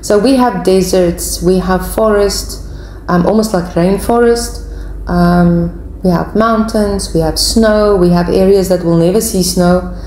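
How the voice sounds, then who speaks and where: South african lady doing a casual q&a chat, soft mellow tone, echoey room w a bit of noise. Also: fairly low pitch, fairly even intonation, a little slow. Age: 40s. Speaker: video channel host. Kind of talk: casual question-and-answer chat to viewers.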